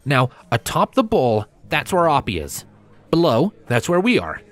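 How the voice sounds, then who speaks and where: A man's voice: medium pitch, huge pitch swings, moderate pace, fairly bright tone, no room sound, voice pushed up. A man voicing a confident, eager male scientist explaining his theory, emphatic and undeterred, in a story reading.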